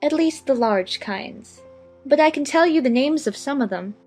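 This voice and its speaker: A woman's voice: sits high, lively intonation, moderate pace, darkish tone, soft background music. A woman voicing a curious, polite, slightly anxious young girl in a children's audiobook, earnest and questioning. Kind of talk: dramatized children's audiobook acting.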